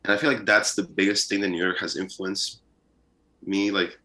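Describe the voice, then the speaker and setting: A young man from Peru, a musician guest, talking casually in an interview with a relaxed, rambling manner and many fillers. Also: low-pitched, normal speed, rough recording.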